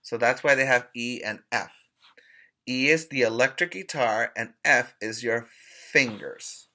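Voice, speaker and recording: A man teaching preschoolers by reading aloud in a deliberate, emphatic way, pausing to put questions to his young listeners. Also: mid-range voice, bright, forceful tone. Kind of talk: read-aloud lesson for young children.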